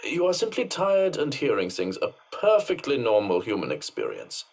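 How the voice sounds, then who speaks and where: Formal head butler, clipped and dry, coolly condescending, widely moving pitch, video game. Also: male voice, huge pitch swings, moderate pace, gravelly, heavily acted. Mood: dryly dismissive.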